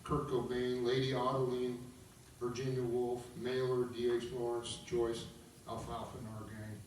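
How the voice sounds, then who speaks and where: A man's voice: medium pitch, monotone, normal speed, darkish tone, slight background noise. A man narrating at a table read, reading stage directions aloud. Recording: echoey room, distant mic, background music.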